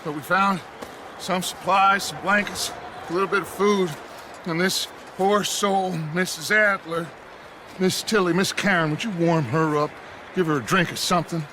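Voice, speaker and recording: An actor playing a grandiose, protective middle-aged American outlaw leader, weary and theatrical in a western game. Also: male voice, high-pitched, bright, forceful tone. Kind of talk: cinematic western game acting. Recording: clear background noise.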